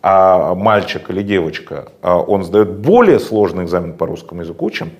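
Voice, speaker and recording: A man's voice: low voice, expressive, moderate pace. A man giving long, emphatic, lecturing answers in a political interview, with frequent hesitation sounds. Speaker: interview guest.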